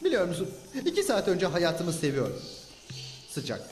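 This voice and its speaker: A man's voice: high-pitched, huge pitch swings, moderate pace, darkish tone. A man voicing a timid sidekick in a moth suit who speaks in an anxious, hesitant, whiny way. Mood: nervous. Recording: echoey mix with music.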